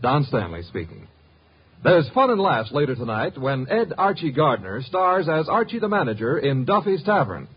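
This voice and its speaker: A man's voice: a little high, sing-song, moderate pace, slightly rough. A man announcing scripted credits in the style of 1950s radio. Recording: dull 1950s radio recording. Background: music.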